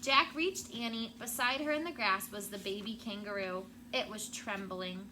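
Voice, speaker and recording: A woman's voice: mid-range voice, normal speed. A woman schoolteacher reading a storybook aloud to children. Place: classroom. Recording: clear background noise.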